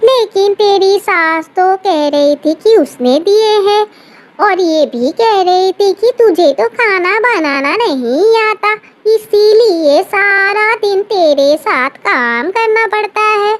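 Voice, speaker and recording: A woman's voice: extremely high pitch, expressive, takes it slowly, voice pushed up, full character voice. A woman voicing a scheming, meddling middle-aged auntie in a cartoon moral story, gossipy and insinuating, with music behind. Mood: sly.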